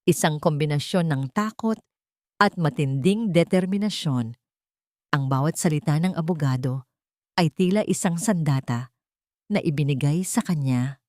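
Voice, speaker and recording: A woman with a Filipino accent narrating a dramatic scripted story in a measured, emotive way. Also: low-pitched, a little mellow, no echo, clean studio sound.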